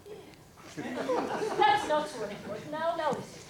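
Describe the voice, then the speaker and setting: A woman's voice: high-pitched, sing-song, takes it slowly, a loud crowd, full character voice. A woman performing a comic monologue as a prim elderly widow with genteel, mannered speech and frequent laughter. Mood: prim, giggly. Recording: roomy, distant mic with background noise.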